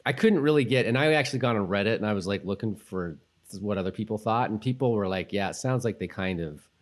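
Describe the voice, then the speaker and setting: A man with a slightly low voice chats as a podcast co-host. He talks casually, rambling and working out his thoughts as he goes. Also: lively intonation, fast. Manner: rambling, thinks aloud in loose tangents. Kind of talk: casual TV rewatch chat.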